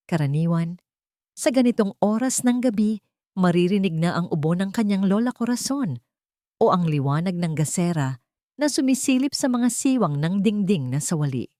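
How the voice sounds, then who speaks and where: A Filipino woman narrates a dramatic story from a script. Her voice sits slightly low for a woman, and the sound is dry and close, with little room in it. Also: warm tone, no room sound.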